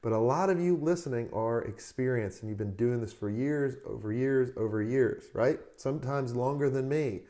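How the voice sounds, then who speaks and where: A man with a mellow, darker voice that is still hoarse, hosting his own advice show. He talks straight to his listeners in an upbeat, motivational coaching tone and urges them to take action. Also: medium pitch, moderate pace, hardly any pauses. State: hoarse, recovering from a lost voice. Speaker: podcast host. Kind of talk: solo advice podcast.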